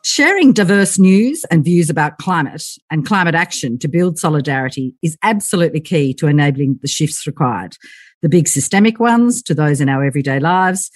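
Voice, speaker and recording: Woman, podcast host, low husky voice, earnest read. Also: expressive, a little fast. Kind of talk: scripted podcast intro and interview.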